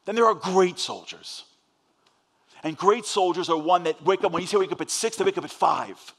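A man with a New York accent giving a fast-paced inspirational speech on a large stage, telling a story and acting out the dialogue. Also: high voice, fairly bright tone. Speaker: motivational speaker.

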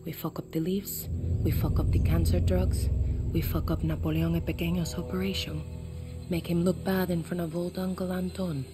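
A woman's voice: on the low side, flat delivery, normal speed, over loud music, close to an everyday voice. An actress playing a tough, defiant young female guerrilla leader with a Latin American Spanish accent in a game cutscene. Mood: fierce, blunt. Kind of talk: dramatic video game cutscene acting.

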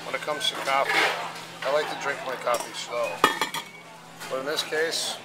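A man's voice: medium pitch, steady tone, normal speed. A man in his thirties, joking and teasing while filming himself on a handheld camera. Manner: playful, teasing. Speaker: vlogger. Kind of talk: casual joking vlog chatter. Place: airport, car and restaurant. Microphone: handheld camera close to face.

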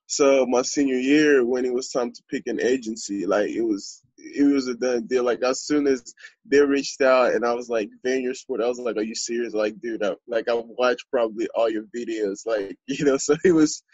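A man in his twenties from Congo, a podcast guest telling his story in a relaxed, earnest way with lots of fillers. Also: mid-range voice, moderate pace, husky, no room sound, lo-fi sound. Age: 20s. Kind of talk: podcast interview.

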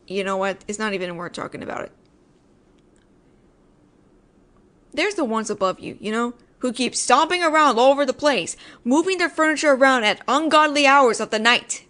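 A woman acts out a judgemental female ghost haunting an apartment, in a somewhat high voice. She is sarcastic and exasperated, nagging the listener in a scripted audio roleplay, yet secretly cares. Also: expressive, brisk, sharp tone. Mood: irritated.

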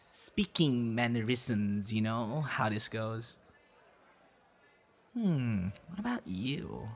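A man's voice: lowish voice, heavily acted. A young man acting a teasing, friendly boyfriend figure in an intimate, casual audio roleplay. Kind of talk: ASMR boyfriend roleplay, intimate one-sided dialogue.

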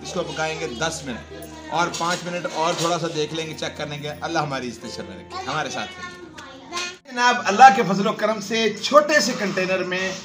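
A man's voice: high-pitched, animated, normal speed. A man in his forties, chatting to viewers while cooking on a wood fire outdoors in a village yard, recorded on the camera mic. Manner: chatty, addresses viewers directly. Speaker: cooking vlogger. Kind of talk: casual cooking demonstration. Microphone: camera mic, handheld by a cameraman. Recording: background music under the voice.

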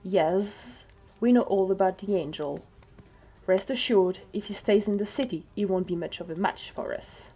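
A woman voicing a cold, commanding demon lord in a comic dub, deliberate and mocking with buzzing sibilants. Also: mid-range voice, warm tone, husky, faint music behind, close to an everyday voice. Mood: cold, smug.

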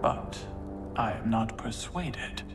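A male-sounding actor as a proud monarch of a monstrous warrior race in a fighting game. The queen speaks formally and deliberately, guarded and skeptical, with prominent music underneath. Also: mid-range voice, natural voice. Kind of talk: video game cutscene acting.

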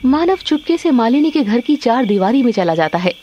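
कहानी सुनाती महिला वाचक. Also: female voice, a little high, sing-song, fairly quick, dark tone, muffled recording, slight background noise. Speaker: narrator. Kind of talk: scripted story narration.

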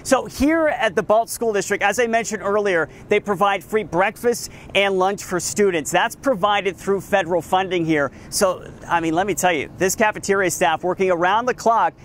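An enthusiastic male field reporter with a fairly high voice, talking live outdoors in front of a school. He sounds upbeat and chatty, picked up on a lapel mic. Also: normal speed, hardly any pauses, a little hiss or hum.

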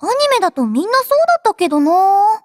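A woman's voice: very high voice, very animated, fast, a little mellow, dry recording, clean studio sound. A woman voicing a cheerful, childlike android girl in an anime game, playful and boastful in manner. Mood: playful, earnest. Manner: playful, childlike, refers to herself by name. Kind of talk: anime game character voice lines. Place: dry studio.

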